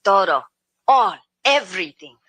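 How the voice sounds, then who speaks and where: An actress in an old-time radio drama playing a fiery, fiercely devoted Cuban woman with a Spanish accent and deliberate, melodramatic phrasing. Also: medium pitch, animated, fairly bright tone, husky. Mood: menacing. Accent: Spanish-accented English.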